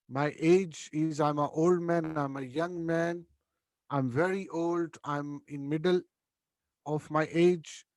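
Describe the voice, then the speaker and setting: A man giving a spiritual coaching talk in a slow, unhurried way, with a voice that sits a little high for a man. He works through his points as lists of short phrases, pausing and restarting as he thinks. The sound is dry and close, with no room echo. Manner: deliberate, listing examples one by one in short phrases.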